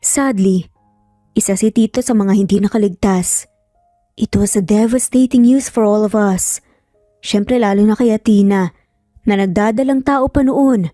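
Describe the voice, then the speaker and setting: Woman playing a gentle, mellow-voiced loyal male friend. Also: medium pitch, no room sound, studio quality, faint music behind, close to an everyday voice. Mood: sombre. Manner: gentle, measured. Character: calm, protective young man, loyal friend and cousin.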